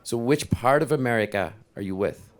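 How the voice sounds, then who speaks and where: A man in the audience asking a question at a conference panel, speaking haltingly with many restarts and fillers. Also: medium pitch. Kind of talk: spontaneous question from the floor.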